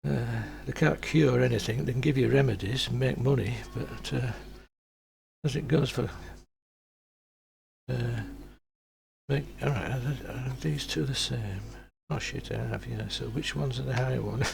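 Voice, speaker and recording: A soft-spoken middle-aged man, chatting casually and rambling through a workshop build, with sweary asides and mumbling as he works on a home-made mic. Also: mid-range voice, unhurried, vocal fry, slight background noise. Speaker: maker. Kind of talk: casual workshop chat while assembling. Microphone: home-made microphone.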